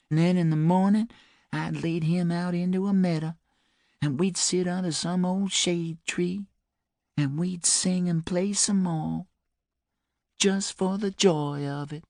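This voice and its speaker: A male narrator voicing a frail woman over ninety who speaks slowly and softly as she remembers. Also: high voice, dry recording, clean studio sound, voice pushed up. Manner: slow, soft, unhurried drawl.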